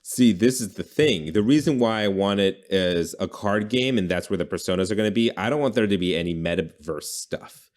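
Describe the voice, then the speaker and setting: A man's voice: fairly low pitch, brisk, a little mellow. A man hosting a casual brainstorming chat, informal and often correcting himself as he thinks aloud. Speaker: podcast host pitching game ideas.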